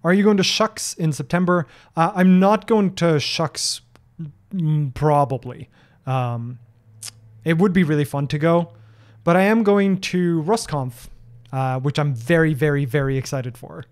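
A man's voice: a little high, a little slow. A man in his thirties from Norway, speaking English as a second language, casually answering viewer questions on a stream from his home office into a desk mic, with lots of ums and restarts. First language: Norwegian. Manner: chatty, many ums and restarts, reads questions aloud. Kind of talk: casual livestream Q&A. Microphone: desk broadcast mic close to face.

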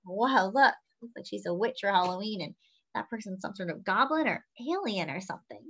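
A young woman reading a picture book aloud to children with animated, expressive delivery. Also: medium pitch, brisk. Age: young adult. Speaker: children's story-time reader. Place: small room indoors at home. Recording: slightly dull webcam audio.

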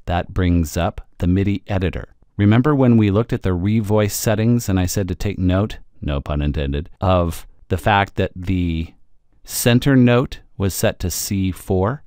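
A man presenting a software tutorial, explaining step by step in a conversational way with wry asides. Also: low voice, smooth, dry recording, studio quality.

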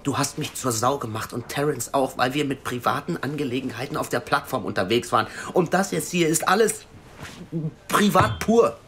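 An actor playing a worried, cautious investigator in an audio drama, speaking frankly and haltingly. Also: male voice, medium pitch, animated, a bit bright. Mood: wary, reluctant. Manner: halting, earnest. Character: worried, cautious male investigative team member, frank and urgent. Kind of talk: naturalistic German audio drama acting. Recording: clearly noisy background.

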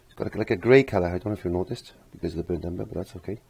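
A middle-aged man with a low, husky, croaky voice talks through a painting demonstration. He rambles casually, often repeating short phrases as if talking to himself while he works. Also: rapid-fire, dark tone. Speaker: painting instructor. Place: indoor home art studio.

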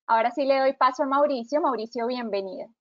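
A woman in her thirties, a marketing director, opening a webinar over a video call with clear, orderly announcements. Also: high-pitched, fast, dry recording. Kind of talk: scripted introduction. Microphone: video call webcam. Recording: dry, slightly dull video call audio.

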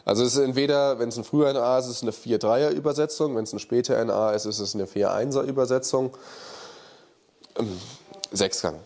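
A man's voice: medium pitch, a little fast, a little mellow. A man in his thirties with a slight cold and nasal voice, bluntly answering viewer questions in a casual chat, recorded on a lapel mic in an indoor office. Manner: blunt, colloquial, frank verdicts. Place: indoor workshop office, seated in armchair.

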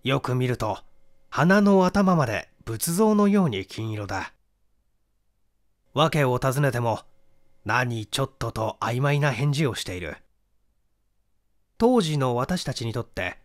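A man reading a literary mystery story aloud as a dramatic narrator. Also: mid-range voice. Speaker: audiobook reader, narrator.

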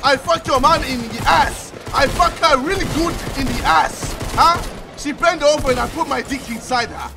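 High-pitched, mocking man gamer. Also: normal speed, creaky, over music. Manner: high, mocking and crude, with shrieks. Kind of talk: trolling players with a put-on foreign voice.

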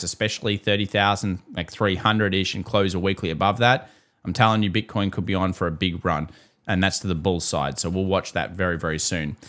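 Low-voiced Australian man analyzing market charts. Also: fairly bright tone. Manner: brisk, opinionated, asks and answers own questions. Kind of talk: chart-walkthrough market commentary. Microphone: voice-over on screen recording.

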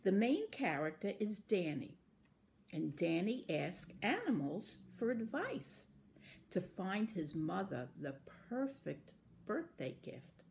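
A woman's voice: mid-range voice, big pitch swings, slow pace, gravelly, darkish tone, slight background noise. A woman in her sixties reading out a scripted quiz question as a librarian, recorded indoors at home. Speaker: librarian posing a trivia question. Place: small indoor room at home.

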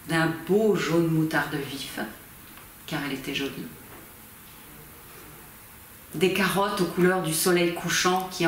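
A woman in her fifties, a volunteer amateur reader, gives a theatrical reading of a novel with measured, expressive phrasing, recorded indoors in a small library corner by a camera at a distance. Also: sits low. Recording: very echoey room, faint background noise.

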